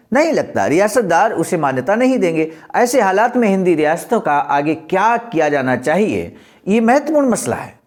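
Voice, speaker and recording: A man's voice: high voice, a little mellow. A man presenting a historical political speech, reading it aloud in a formal, explanatory and oratorical manner.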